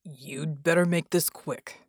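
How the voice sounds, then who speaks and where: A woman voicing a calm, steady man with a rumbling voice and a deliberate delivery in an audiobook story. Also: husky, dry recording, studio quality, exaggerated voice. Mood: gruff resignation.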